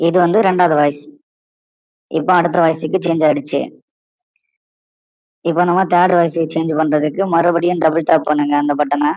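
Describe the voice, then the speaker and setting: A man speaking through a voice changer's higher preset, demonstrating the product. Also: flat delivery, brisk, pauses often, no echo. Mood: flat, instructive. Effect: electronic pitch-shifting voice changer. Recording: tinny, dry phone-like audio.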